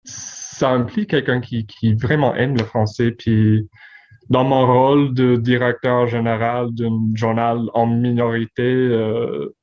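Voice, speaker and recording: A man's voice: medium pitch, flat delivery, slow pace, darkish tone, muffled recording, slight background noise. A man from Newfoundland and Labrador, a newspaper director, speaking in a hesitant casual conversation and often repeating words. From Newfoundland and Labrador, Canada.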